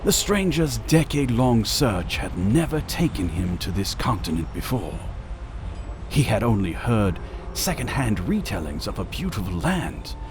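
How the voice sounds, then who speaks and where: A man reading scripted narration for a dramatic audio story in a measured, theatrical manner. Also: on the low side, sing-song, background noise.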